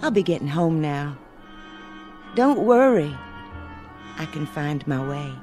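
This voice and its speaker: An actress in a radio drama playing a sharp, composed woman who questions in a measured, pointed way. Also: low-pitched, lively intonation. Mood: cool, challenging. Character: sharp, composed woman coolly dismantling men's explanation. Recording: music bed under the dialogue.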